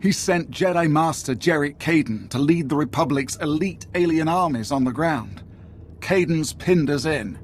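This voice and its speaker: A man's voice: on the high side, normal speed, a little hiss or hum, natural voice. A man playing a stern, exasperated Imperial military commander in a video game, clipped and scornful. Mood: stern, briefing. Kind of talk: dramatic video game acting.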